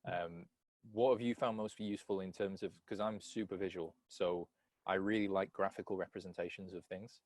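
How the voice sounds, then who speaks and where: Man, deep voice, dry sound, measured phrasing, reflective, wordy, conversational coach. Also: fairly even intonation, darkish tone, no echo. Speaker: coach asking questions on a call.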